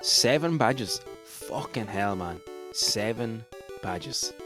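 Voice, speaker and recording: A man's voice: fairly low pitch, animated, slow, music in the background. A man with an Irish accent leading a casual role-play game on a podcast, sweary and enthusiastic. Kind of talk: casual tabletop-style game narration and banter.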